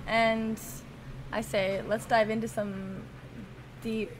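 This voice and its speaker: A woman's voice: on the high side, level tone, takes it slowly, noisy background. A woman hosting a podcast, talking casually and eagerly. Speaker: podcast host. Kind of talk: casual chat.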